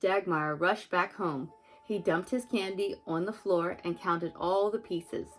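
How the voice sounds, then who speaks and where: A young woman and mother reading a children's book aloud and then chatting gently with her child about it. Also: lowish voice, measured pace, faint music behind. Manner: gentle, expressive, talks to the child.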